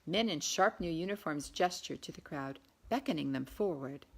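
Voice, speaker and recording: A woman's voice: low voice, animated, normal speed, creaky, slightly rough. A woman reading historical fiction aloud as an author, in scripted audiobook narration. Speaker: author reading their own novel.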